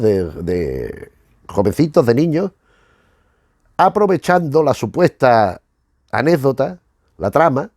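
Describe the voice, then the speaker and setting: A man from hosts a casual commentary in a very dry recording. His voice sits at an average pitch. He digresses and circles back, rewording phrases as he searches for the right one. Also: darkish tone, no room sound. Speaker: YouTube host reviewing retro cartoons.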